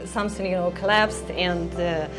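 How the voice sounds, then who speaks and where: A woman's voice: fairly low pitch, fairly even intonation, normal speed, music in the background. A woman representing a company, answering interview questions in a giggly, hesitant way with many fillers.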